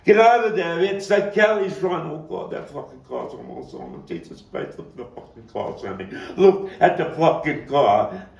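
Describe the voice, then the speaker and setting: A man performing a theatrical monologue as a haunted Brooklyn man looking back on his boyhood, halting and dramatic with long pauses. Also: a little high, big pitch swings, creaky, a little mellow. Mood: panicked. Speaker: playwright and actor. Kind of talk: theatrical monologue at a table read. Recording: roomy, distant, noisy live capture.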